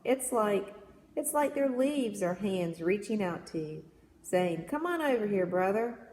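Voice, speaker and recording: A woman's voice: medium pitch, expressive, a little slow, darkish tone, pitched above the performer's own voice, close to an everyday voice. A woman voicing an easygoing, folksy young man who loves nature, in a storybook read-aloud in an echoey room. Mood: dreamy, tender. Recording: echoey reverberant room with background noise.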